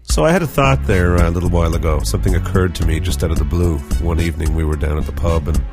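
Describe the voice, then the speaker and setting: A man with a very low, deep voice hosts a casual podcast. He rambles through offbeat, jokey musings. Music plays behind him. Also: quick pace. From Newfoundland. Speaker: podcast host. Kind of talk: casual radio podcast talk.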